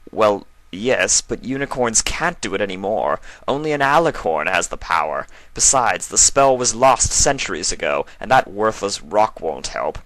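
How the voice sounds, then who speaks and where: A pedantic stallion scientist from a fantasy story, voiced by a male reader, scoffs and lectures dismissively. His voice is forceful and bright, a little raised in pitch, in a dry recording. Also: big pitch swings, moderate pace, few pauses, dry recording, rough recording. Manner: dismissive, stammering when flustered.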